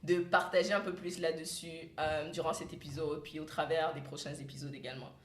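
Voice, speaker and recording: A woman's voice: sits low, flat delivery, brisk. A young woman from Cameroon hosting a casual podcast chat, laughing often and mixing English phrases into her French. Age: young adult. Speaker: podcast host. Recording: echoey room.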